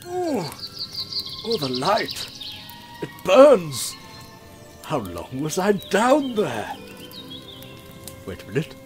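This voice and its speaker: A man's voice: high voice, wildly swooping pitch, very slow, long pauses, soft background music, exaggerated voice. A man playing a pompous, hapless knight in a comic fantasy audio drama, melodramatic and given to talking to himself. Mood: searching, melodramatic. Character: pompous, hapless male knight, self-important and easily dejected.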